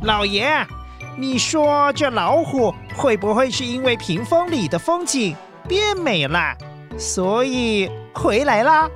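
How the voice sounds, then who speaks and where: A man's voice: extremely high pitch, very animated, slow pace, exaggerated voice. A man playing a flustered, eager household butler in a children's audio story, speaking urgently. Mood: curious, hopeful. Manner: breathless urgency, repeats himself. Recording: music under the voice. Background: music.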